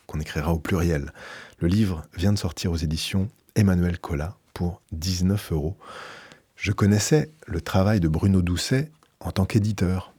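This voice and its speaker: A man who is a radio book columnist, reading a book aloud in a measured, emphatic way and then reviewing it, in a radio studio. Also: deep voice, mellow, breathy edge, dry recording.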